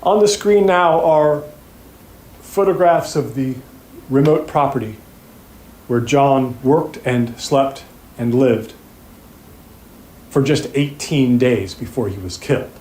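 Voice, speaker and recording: An actor playing a methodical, pointed prosecutor delivering an opening statement to a jury in an audio drama, speaking deliberately with rhetorical pauses. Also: male voice, medium pitch, darkish tone, natural voice. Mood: accusing, grave. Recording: roomy, echoing sound.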